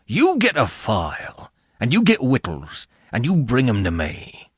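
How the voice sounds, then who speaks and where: A man voicing a ragged escaped convict in an audiobook, rough, hungry and threatening, with rustic speech and dropped sounds. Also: mid-range voice, wildly swooping pitch, measured pace, dry recording, clean studio sound, heavily acted. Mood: threatening, urgent. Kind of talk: dramatised audiobook character voice.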